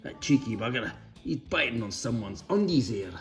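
Man, children's storytime reader, lively varied pitch, playful and cheeky, faint music. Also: moderate pace. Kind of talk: animated read-aloud for children.

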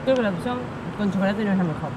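A young adult woman with an Argentine Spanish accent, lively and casual, rating and recommending food as a travel vlogger. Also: mid-range voice, rapid-fire. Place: outdoors on city streets and cafés. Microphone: handheld selfie camera. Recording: loud crowd and street noise.

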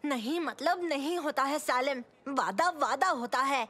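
A woman's voice: high-pitched, a little slow, bright, forceful tone. A woman voicing a principled, sensible twelve-year-old boy with a firm, reasoning manner in a cartoon dub.

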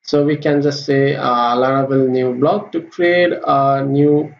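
A man giving a screen-recorded coding tutorial, talking haltingly with many fillers and restarts. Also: mid-range voice, fairly even intonation, creaky. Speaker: programming tutor. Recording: roomy, dull lo-fi with faint hiss.

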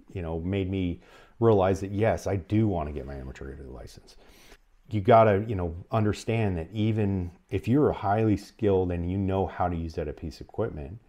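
A man with a North American accent speaks in a low, mellow voice. He gives explanatory advice to the camera, phrasing it in a measured, halting way. He sounds like a presenter in his forties. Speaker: presenter of an emergency radio advice channel. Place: small furnished room at home, indoors.

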